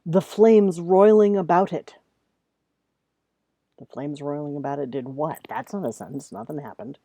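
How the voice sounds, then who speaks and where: A woman talks to students in a low, mellow voice. Her delivery is informal and conversational, with deliberate emphasis on key words. The sound is dry, with almost no room in it. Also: dry recording. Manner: emphatic, reads examples aloud deliberately, then comments plainly. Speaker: writing teacher. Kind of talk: casual instructional talk to students.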